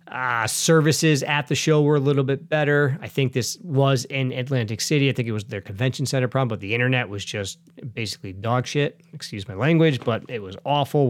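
A middle-aged man talks straight into a close podcast mic, with no room sound at all. He rambles in a relaxed, chatty way, often correcting himself mid-sentence. He sounds a bit sniffly as he gets over a cold. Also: mid-range voice, no room sound. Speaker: podcast host. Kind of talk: casual solo podcast chat.

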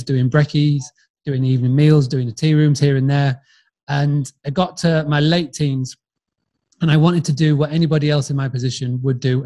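A man's voice: mid-range voice, darkish tone. A man in his forties with a Yorkshire accent, a podcast guest telling his story in a friendly, chatty way, often restarting and repeating words. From England, Yorkshire. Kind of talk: casual storytelling interview.

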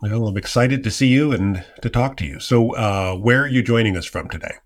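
A man's voice: medium pitch, a little fast. A man from the United States hosting a podcast interview, asking questions in an upbeat, friendly way. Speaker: podcast host.

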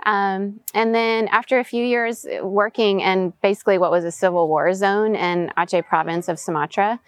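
A woman's voice: medium pitch, fairly even intonation, normal speed, clear, resonant tone, dry recording. A woman in her forties who was raised in Venezuela, answering reflectively as an interview guest in a casual conversation. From raised in Venezuela, US-born. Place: outdoors, in person.

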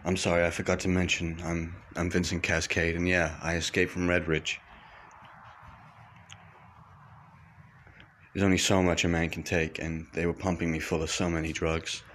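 An actor playing a frightened fugitive private eye who stammers and talks in hushed tones. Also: male voice, low voice, flat delivery, brisk, hardly any pauses, slight background noise. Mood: urgent. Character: scared fugitive male detective, paranoid.

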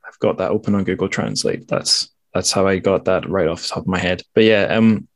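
A man's voice: low-pitched, sing-song, a little fast, creaky, dry recording. A man in his twenties hosting a podcast, explaining things casually in an informal, wry and self-deprecating way. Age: 20s. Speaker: podcast host.

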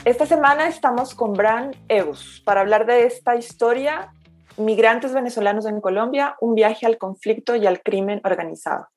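A woman's voice: a little high, moderate pace, a little mellow, faint music behind. A woman hosting a podcast, introducing the episode and asking her guest questions in a conversational way, with some restarts. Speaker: podcast host.

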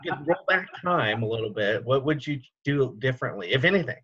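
A man's voice: mid-range voice, animated, a little fast, a bit bright, husky, muffled recording. A man hosting a podcast interview, talking easygoingly with many fillers and restarts. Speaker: podcast host, military veteran. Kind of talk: casual interview chat.

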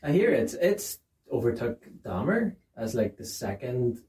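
A man in his late thirties chatting in a relaxed, reflective way, swearing casually. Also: mid-range voice, measured pace. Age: late 30s. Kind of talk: casual chat. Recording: roomy, distant, faint hiss.

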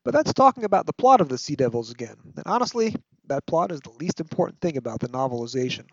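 A man hosting a podcast with scripted narration, measured, articulate and wry, like a literary review. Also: on the high side, big pitch swings, vocal fry, breathy edge, no echo, muffled recording. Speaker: podcast host.

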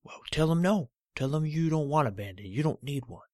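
A man doing a loud, bossy talking toe. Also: fairly high pitch, expressive, a little fast, no room sound, studio quality, pitched above the performer's own voice, lightly acted. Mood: complaining. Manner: loud, insistent, complaining.

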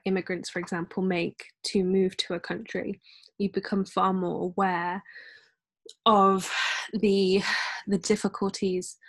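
Slow, earnest woman. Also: medium pitch. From United Kingdom. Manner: earnest, thoughtful, measured. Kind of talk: casual discussion.

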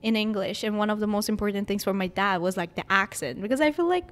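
A woman in her twenties with a mixed Persian and Greek accent, telling her story as a guest in a casual interview, animated and quick to laugh. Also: medium pitch, a little hiss or hum. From Iran. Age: 20s. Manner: animated storyteller who acts out others' words; laughs often.

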